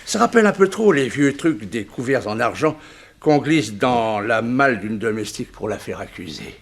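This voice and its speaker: Dry, formal examining magistrate. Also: male voice, medium pitch, lively intonation, moderate pace. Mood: measured, reasoning. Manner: formal, clipped, authoritative. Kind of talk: 1960s French radio drama acting.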